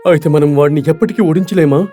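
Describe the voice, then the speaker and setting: A man's voice: a little high, fast talker, mellow, faint music behind, close to an everyday voice. Man voicing a proud, earnest cartoon lion king. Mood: troubled, pleading. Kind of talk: animated fable dialogue.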